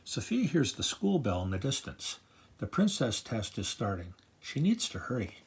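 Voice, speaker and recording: An older man reading a children's picture book aloud in a gentle, grandfatherly storytelling manner. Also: mid-range voice, huge pitch swings, moderate pace, slight background noise. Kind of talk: children's read-aloud narration.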